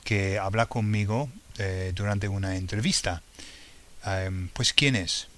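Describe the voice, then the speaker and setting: Slow, low-voiced man hosting a podcast. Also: fairly bright tone, slight background noise. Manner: hesitant, with many fillers and encouraging interjections. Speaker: podcast host and interviewer. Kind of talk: podcast presenting and interviewing.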